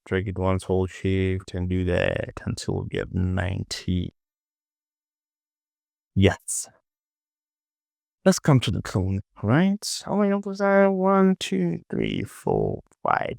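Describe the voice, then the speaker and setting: A man with a deep voice talks slowly through a casual tutorial. He mutters to himself and repeats short phrases, with plenty of creak in his voice. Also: very animated, darkish tone, no echo, clean studio sound. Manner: muttering, repetitive self-talk. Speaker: 3D modeling tutorial host. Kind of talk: casual screen-recorded tutorial, thinking aloud.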